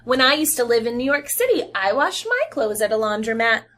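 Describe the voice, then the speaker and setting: A young adult woman reading a picture book aloud to young children as their teacher, expressive and animated, with sound effects and pauses to explain. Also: fairly high pitch, a little hiss or hum.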